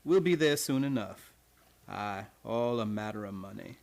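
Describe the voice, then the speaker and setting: A man's voice: fairly low pitch, expressive, normal speed, gravelly. An actor playing a laconic, evasive boatman in an old-time radio play, matter-of-fact and terse. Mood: cryptic, matter-of-fact. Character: cryptic, laconic male boatman rowing a young heiress.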